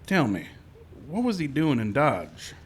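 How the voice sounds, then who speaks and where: Male actor as frontier lawman and storyteller: steady, firm, middle register, classic radio western delivery. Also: mid-range voice, a little slow, slight background noise. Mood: courteous, measured. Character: steady, firm frontier marshal who also narrates. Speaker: narrator and lead actor. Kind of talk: old-time radio western acting. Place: live stage show in a park.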